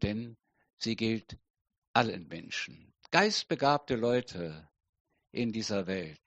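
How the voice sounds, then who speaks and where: Man, middle-aged pastor, unhurried sermon, muffled close sound. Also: lowish voice, dry recording. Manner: slow, deliberate preaching with emphatic pauses.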